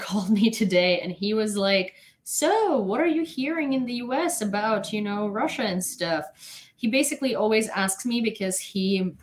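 A young woman whose first language is Ukrainian, giving blunt, opinionated news commentary on a casual livestream from her bedroom, with many fillers and restarts. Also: mid-range voice. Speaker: news vlogger. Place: small bedroom at home.

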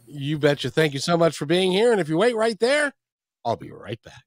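A man's voice: on the high side, sing-song, fairly quick. A middle-aged man hosting a talk radio show, chatty and jokey, repeating phrases as he talks into a studio microphone. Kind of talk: interview and show outro. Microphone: studio microphone with headphones. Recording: dry close mic, faint music.

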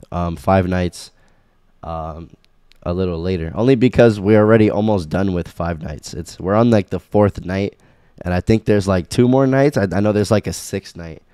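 A young man with a very low voice runs a relaxed livestream from home, greeting his chat by name between plenty of ums. He is sick, and his voice sounds a little scratchy. The sound is clear and smooth, recorded dry on a close boom mic. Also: no echo. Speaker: streamer. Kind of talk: casual game livestream chat. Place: small room at home. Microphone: boom mic on arm, headphones.

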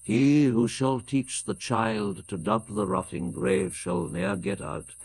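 Older British male reader, very creaky voice, lively rise and fall, for solemn, declamatory verse recitation. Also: medium pitch. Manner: measured, declamatory. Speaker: poetry reader. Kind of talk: solemn dramatic poetry recitation.

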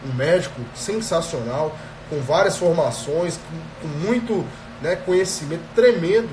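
A man's voice: fairly high pitch, unhurried, roomy sound, far from the mic, a little hiss or hum, poor audio. A man in his thirties with a Brazilian Portuguese accent hosts a live stream as a productivity coach. He has a cold and talks straight to viewers, checking in with them often. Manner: energetic, addresses viewers directly, frequent "tá?" checks. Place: small indoor room. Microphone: earphone headset mic on a phone.

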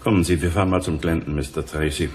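An actor playing a discreet, authoritative wealthy client in a crime radio play, firm and measured. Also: male voice, very deep voice, fairly even intonation, a little mellow, slight background noise.

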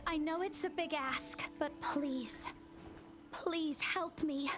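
An actress playing a friendly, eager young woman in a video game, expressive and pleading. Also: high-pitched, slow pace, faint music behind. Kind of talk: video game character acting.

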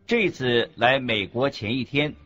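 A man playing a Buddhist monk teacher in a scripted radio lecture, husky, expressive and didactic. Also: mid-range voice, a little slow. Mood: earnest recounting. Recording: dull lo-fi recording with faint music.

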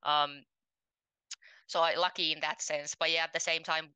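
A woman's voice: deep voice, level tone, moderate pace, pushed and bright, clean tone, no room sound. A woman in her twenties from Finland, a podcast guest speaking candidly in a casual interview over a headset mic from home, with frequent ums. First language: Finnish. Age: 20s. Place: home room, indoors.

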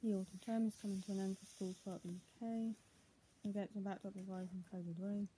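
Young woman reading aloud, very mellow and dark. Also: mid-range voice, flat delivery, fast talker. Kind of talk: reading news text aloud. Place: small bedroom indoors. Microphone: tablet's built-in mic at arm's length. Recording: clearly noisy, muffled home tablet recording.

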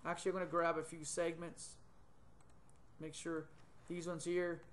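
A middle-aged man with a fairly high voice walks through a 3D modeling task on screen. He thinks aloud as he goes, filling pauses with ums and correcting himself on the fly. He is recorded on a desktop computer mic in a small room. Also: monotone, slight background noise. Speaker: software tutor. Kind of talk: screen-recorded software tutorial. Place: small indoor room, webcam in corner of screen.

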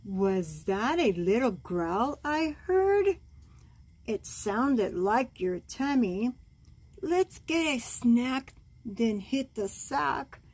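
Slow-reading older woman, high slightly husky voice. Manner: gentle, expressive, cooing over pictures. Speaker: grandmother reading storybooks to children. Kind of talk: read-aloud bedtime story with side comments. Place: small room at home, indoors.

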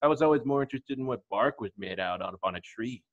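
A man's voice: medium pitch, expressive, quick pace, close to an everyday voice. A man playing a laid-back, airheaded, self-assured male model in a comedy script read over video call. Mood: earnest, breezy. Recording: dull video call audio.

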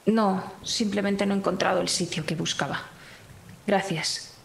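A woman's voice: mid-range voice, steady tone, normal speed, vocal fry, slight background noise, close to an everyday voice. A woman playing a shaken young woman who hesitates and reassures in a tabletop roleplaying game. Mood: polite, urgent.

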